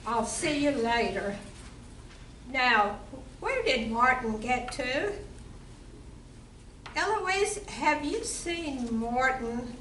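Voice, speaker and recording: A saucy, bossy barmaid with a high, sharp voice, acted by a woman in an amateur play. She projects each line slowly and deliberately. It sounds roomy, picked up from a distance. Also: animated, heavily acted. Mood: brisk, inquiring. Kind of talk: amateur community theatre reading. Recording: roomy, distant mic with background noise.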